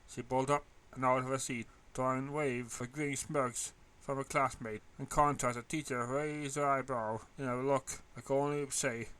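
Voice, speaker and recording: A man's voice: mid-range voice, fairly bright tone, smooth. A man reading a story aloud as scripted narration. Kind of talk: scripted narration, amateur fan fiction reading.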